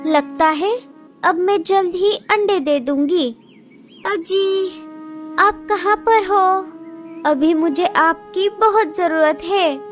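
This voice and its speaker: A woman voicing a gentle young female sparrow who begs for help in a cartoon story. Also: very high voice, slow pace, faint music behind. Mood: worried. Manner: pleading and anxious. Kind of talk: cartoon voice acting.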